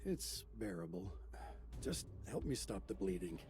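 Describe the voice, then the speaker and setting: A man acting a seasoned older raid hunter in an English anime game, speaking in a grave, measured way. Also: sits low, sing-song, noisy background. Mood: stoic, composed.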